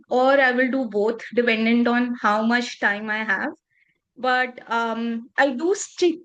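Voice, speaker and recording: A woman's voice: sits high, a bit bright, low-quality recording. A woman around thirty, a native Punjabi speaker from northern India, talking earnestly and reflectively as a podcast guest. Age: 30s. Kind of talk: casual interview conversation.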